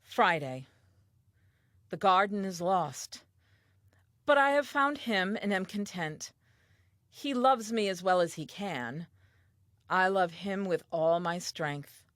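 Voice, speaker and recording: Actress doing reflective first woman diary monologue, earnest and tender, lowish voice, really expressive pitch. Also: slow pace, long pauses, no room sound, clean studio sound. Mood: fond, musing. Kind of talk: audio play diary monologue.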